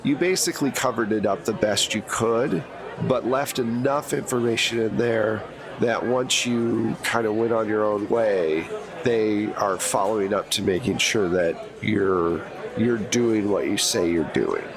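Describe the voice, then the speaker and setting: A man's voice: fairly low pitch, measured pace, a crowd in the background. A man running a tabletop spy game as game master, giving dry, teasing asides to his players.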